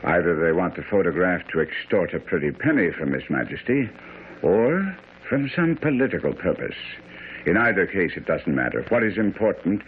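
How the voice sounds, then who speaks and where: A commanding, self-assured detective lays out orders precisely and theatrically. The deep voice rises and falls dramatically in a tinny, faintly noisy radio play. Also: male voice, big pitch swings, normal speed. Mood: instructing, commanding.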